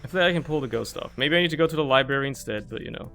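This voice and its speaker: Young man, German first language, casual game commentary, joking and swearing, middle pitch, faint music behind. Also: rapid-fire, a bit bright. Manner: rambling, joking, swears often. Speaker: streamer.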